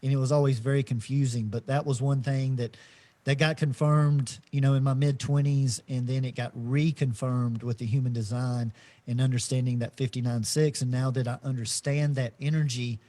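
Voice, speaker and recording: Middle-aged guy on a podcast, warm mellow voice, thinks out loud with lots of ums. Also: mid-range voice, little pitch movement. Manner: slow and reflective, many fillers and restarts. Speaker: podcast co-host. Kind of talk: casual spiritual chat.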